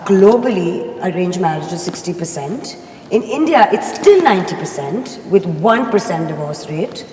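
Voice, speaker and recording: A woman's voice: lowish voice, very animated, normal speed, dark tone, a little hiss or hum. A woman making a confident, persuasive case in a panel debate. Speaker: debate participant. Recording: echoey studio hall.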